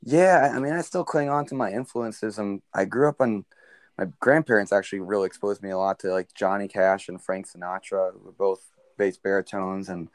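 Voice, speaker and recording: A young man with a very low, smooth baritone voice tells a story in an easygoing interview. He rambles a little, leaning on ums and you-knows. The sound is close and dry. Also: fairly quick, no room sound. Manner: relaxed, rambling with many fillers. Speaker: country singer, guest.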